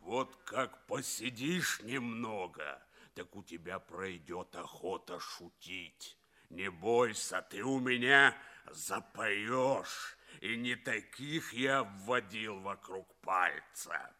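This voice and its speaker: Slow, menacing interrogator. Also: male voice, on the low side, lively intonation, bright, forceful tone, full character voice. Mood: threatening, angry. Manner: threatening, sneering, drawn-out words. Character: menacing alien official, bullying male interrogator. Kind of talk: theatrical radio play acting.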